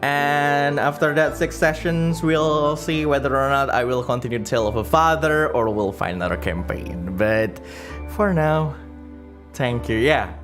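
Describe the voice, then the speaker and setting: Slow-paced man chatting on a livestream over music. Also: medium pitch. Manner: halting and rambling, searching for words. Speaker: livestream host. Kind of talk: casual livestream chat, reading donations and comments. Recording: music playing behind the voice. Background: background music.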